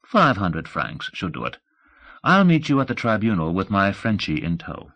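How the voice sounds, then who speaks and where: A man's voice: low-pitched, wildly swooping pitch, normal speed, fairly bright tone, exaggerated voice. A man voicing a brassy, scheming woman in an audiobook, with a breezy, flirty, slangy way of talking. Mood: excited, bossy.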